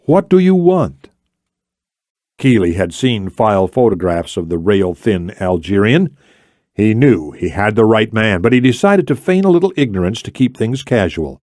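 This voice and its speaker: A man narrates a thriller audiobook from a script. His voice sits fairly low, and the recording is very dry. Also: lively intonation, moderate pace, darkish tone, dry recording.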